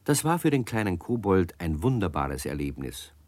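Low-voiced guy telling a kids story, talks right to the children, dry close sound. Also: sing-song, normal speed, dry recording. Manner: warm, addresses the children directly. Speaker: narrator.

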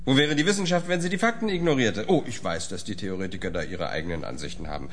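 An actor playing a brisk empirical scientist in a radio drama, assertive and pointed, fond of rhetorical questions. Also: male voice, on the low side, lively intonation, lightly acted. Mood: indignant, pointed.

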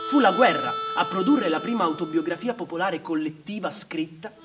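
Narratrice misurata con musica di violino. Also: female voice, fairly low pitch, normal speed, music in the background. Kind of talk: scripted documentary narration.

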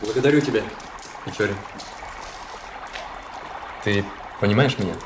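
An earnest, touchy young cadet played by a man, lovesick and self-important. His low voice rings in a very echoey space, with clear watery noise behind it in a radio drama. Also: moderate pace, lightly acted. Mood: solemn, grateful. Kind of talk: radio play acting.

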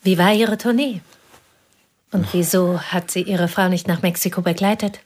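An actress playing a reserved piano teacher from a remote village in a radio drama, terse and dryly ironic. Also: female voice, on the low side, fairly even intonation, moderate pace, a little mellow, lightly acted. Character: reserved provincial female piano teacher, guarded and dry.

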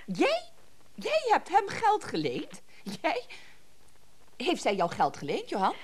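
A woman's voice: high voice, wildly swooping pitch, slow pace, full character voice. A woman playing an indignant, suspicious, well-to-do wife in a radio play, fussy, bossy and insistent. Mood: indignant, incredulous.

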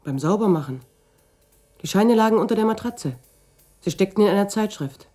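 A woman's voice: mid-range voice, lively intonation, moderate pace, warm tone, faint music behind, natural voice. An actress playing a cooperative woman answering a policeman in a German radio crime drama, matter-of-fact and obliging.

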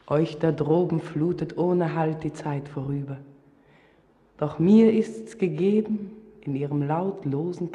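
A woman playing an ancient, yearning spirit in a poetic radio drama, speaking in a hushed, solemn incantation. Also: sits low. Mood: longing. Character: ancient otherworldly spirit, yearning, majestic and possessive. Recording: long reverberant hall.

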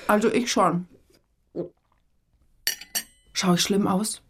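A woman's voice: mid-range voice, steady tone, slow, slightly rough. An actress playing a blunt, self-mocking working-class cleaning woman in a naturalistic radio drama. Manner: blunt, plain-spoken, colloquial.

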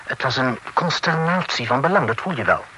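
A florid, bright-voiced gentleman envoy. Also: medium pitch, animated, fairly quick. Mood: grave. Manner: formal, flowery speech sprinkled with French. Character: talkative, worldly gentleman envoy reporting grave news. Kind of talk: period radio drama acting. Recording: noisy old radio play recording.

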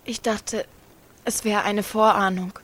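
A woman's voice: mid-range voice, steady tone, slow, a bit bright. An actress playing a troubled, needy teenage girl in a German radio drama, naive and intense. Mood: dreamy.

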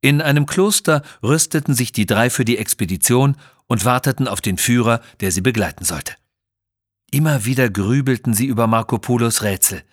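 A man narrates an adventure audio story. His mid-pitched voice has a slightly husky grain, recorded dry and close. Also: normal speed, no room sound.

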